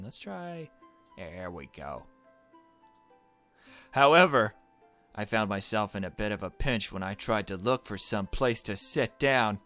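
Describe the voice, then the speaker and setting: Male let's play host, lowish voice, reads game text aloud with casual asides over music. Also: a bit bright. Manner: casual self-commentary between read passages. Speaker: gaming video host.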